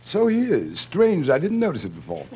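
An actor playing an unruffled, deadpan prince who owns a restaurant in a 1950s radio comedy. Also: male voice, fairly high pitch, moderate pace. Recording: dull, very noisy old radio recording.

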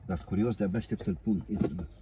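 A man's voice: sits low, heavy background noise. A middle-aged man in a parked car, muttering to himself and coaxing the parts while he works on the dashboard. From Romania. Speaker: DIY car vlogger. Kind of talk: casual self-talk while working. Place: inside a parked car, outdoors.

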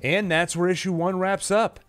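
A man's voice: high voice, steady tone, normal speed, fairly bright tone. A man narrating a scripted, dramatized story recap in the manner of a host. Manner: acts out quoted dialogue within narration. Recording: music under the voice. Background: dramatic music and sound effects.

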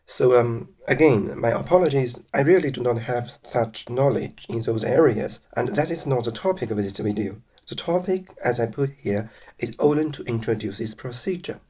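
A man from mainland China, a native Chinese speaker with a Chinese accent, narrating slides on legal procedure in a careful, measured way with some restarts. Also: medium pitch, lively intonation, a little mellow.

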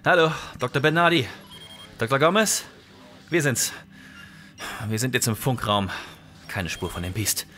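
A man acts out a tense male scientist in a thriller audio drama. His voice is bright and sharp, of middling pitch, with a formal, sardonic edge and a decisive, urgent manner. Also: very animated, a little slow, pauses often. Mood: uneasy.

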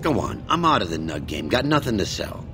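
An actor playing a gruff, weary male dwarf who wrangles nugs, in a video game. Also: deep voice, sing-song, fairly quick, music in the background. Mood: dismissive.

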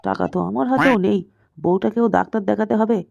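A woman's voice: mid-range voice, quick pace, creaky, a little mellow, lo-fi sound. A woman voicing a sly old grandfather who coaxes and pleads with his lover in an amateur comedy cartoon. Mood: sly, placating. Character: wheedling, cheating old grandfather placating his secret lover.

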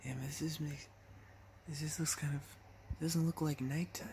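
A young man telling casual stories to camera in an animated, acted-out way, recorded on a phone in a bedroom. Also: on the high side, moderate pace, a little hiss or hum. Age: young adult. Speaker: vlogger telling personal stories. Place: small bedroom, lying in bed. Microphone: phone at arm's length.

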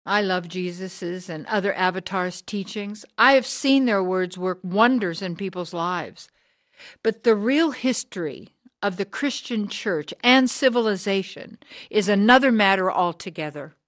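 An actress as a hard, driven woman of sixty in a radio drama. She delivers her indictment slowly, with weighty rhetorical pauses. The sound is close and dry. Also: medium pitch, expressive, no echo, natural voice. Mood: indignant. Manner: deliberate, rhetorical, emphatic pauses. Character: hard, driven sixty-year-old woman, bitter anti-church preacher.

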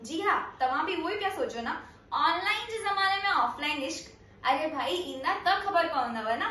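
Young woman hyping a show, super high voice, lively, big echoey room, mic kinda far. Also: normal speed. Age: young adult. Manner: lively, gesturing with both hands. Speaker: presenter. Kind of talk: promotional announcement. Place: indoor room against a plain wall.

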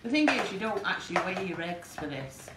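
A woman chatting casually about baking, relaxed and joking, as a grandmother. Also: medium pitch, a little fast. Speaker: guest baker and grandmother. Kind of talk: casual chat. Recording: distant voice in noisy echoey kitchen.

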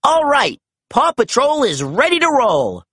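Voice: sits high, wildly swooping pitch, unhurried, a bit bright, breathy edge, no echo, clean studio sound, voice pushed up, heavily acted. A storybook narrator voicing a confident boy team leader who gives earnest, reassuring commands. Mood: commanding.

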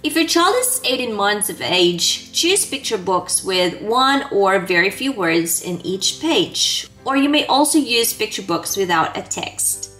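A woman's voice: medium pitch, big pitch swings, measured pace, few pauses, fairly bright tone. Woman educator presenting over background music. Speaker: early childhood educator giving parenting tips. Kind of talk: scripted instructional presentation to camera.

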